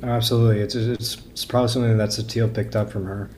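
A man with a fairly low voice talks as a podcast guest, enthusiastic and informal. He often doubles words at the start of a thought, and there is faint noise behind him. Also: level tone, very fast, darkish tone. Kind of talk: casual enthusiastic discussion.

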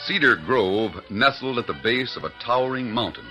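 A man narrates an old radio western in a stirring, declamatory announcer style. His voice is bright and forceful with lively swings of pitch, and faint music sits behind him. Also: normal speed. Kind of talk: dramatic 1940s radio announcing.

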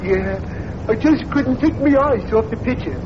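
An actor playing a dim-witted, gullible young barfly in a 1940s radio comedy, with a naive, eager delivery. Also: male voice, very high voice, expressive, moderate pace. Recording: muffled old radio broadcast with hiss.

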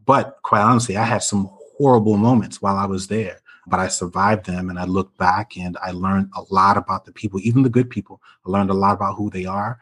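Man, panel guest, very deep husky voice, thoughtful, hedging. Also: little pitch movement, a little fast, few pauses, fairly bright tone. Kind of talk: reflective discussion.

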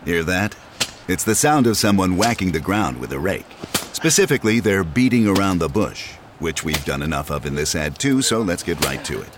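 A man's voice: low-pitched, noisy background. A man reading a scripted radio advertisement as an announcer, in a playful salesman's manner.

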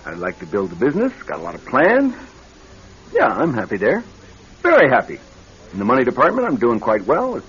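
An actor in a radio drama playing a nervous young married executive troubled by bad dreams, speaking in a halting, earnest way. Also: male voice, mid-range voice, huge pitch swings, a bit bright, a little hiss or hum. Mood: self-assured. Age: young adult. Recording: dull band-limited old radio recording.

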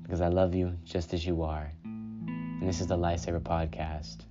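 Calm deep-voiced man hosting a podcast. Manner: calm, unhurried, frequent "you know". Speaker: podcast host. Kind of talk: solo self-care podcast monologue. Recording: dull lo-fi sound with guitar music.

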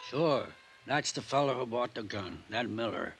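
An actor playing a mild, friendly little pawnbroker who gets defensive, talking in clipped colloquial phrases in an old radio drama. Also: male voice, mid-range voice, animated, moderate pace, gravelly. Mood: defensive, puzzled. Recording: noisy old radio recording.

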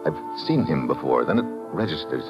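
An actor with an American accent playing a tough, world-weary, wisecracking adventurer in a 1950s radio drama, terse and sardonic. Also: male voice, a bass voice, big pitch swings, normal speed, gravelly, full character voice. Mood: tense narrating. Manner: hard-boiled, terse, sardonic. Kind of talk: 1950s radio drama acting, first-person noir narration. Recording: dull vintage radio recording with music.

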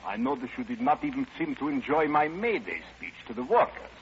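A man's voice: mid-range voice, big pitch swings, a little fast. A man playing an officious, blustering Soviet commissar in an old-time radio drama, on a muffled, noisy recording.

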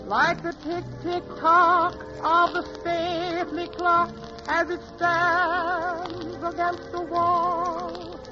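A woman croons a ballad very slowly, holding each phrase. Her voice is very high and stays within a narrow pitch range. A band plays clearly behind her, and the old recording sounds dull. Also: level tone, music in the background. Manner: slow, sustained crooning. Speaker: singer. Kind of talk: slow sung ballad with orchestra. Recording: muffled old 1940s radio recording with band.

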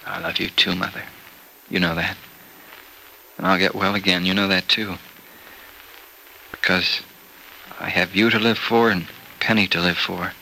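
Weak, creaky, low-voiced young patient. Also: male voice, flat delivery, a little slow, pauses often, bright, forceful tone, exaggerated voice, poor audio. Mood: weak, tender. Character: weak, tender young man, devoted hospital patient. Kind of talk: 1940s radio soap opera acting. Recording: old radio recording with faint noise.

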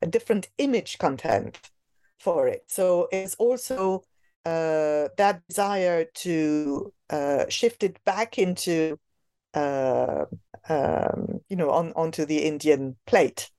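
A woman's voice: deep voice, takes it slowly, warm tone, no echo, studio quality. A woman being interviewed about a book she edited. She speaks in an academic way and hesitates often, with many fillers and restarts. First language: not English.

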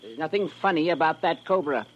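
A man's voice: fairly high pitch, lively intonation, a little fast. An actor playing a pudgy, fussy little man with menace, formal, precise and humorless, in 1940s radio drama style. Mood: stern, threatening. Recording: muffled old radio recording.

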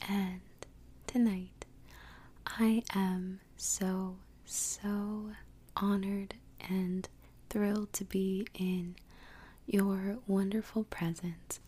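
A woman hosting an ASMR podcast in a soft, breathy whisper, speaking gently and without hurry into a close microphone. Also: medium pitch. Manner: gentle, slow, unhurried delivery. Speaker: podcast host.

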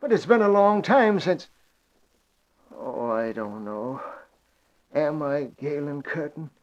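An actor playing a frail, drugged old man in a 1940s radio drama, with a halting, wandering delivery. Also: on the high side, lively intonation. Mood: dazed. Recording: dull lo-fi old radio recording.

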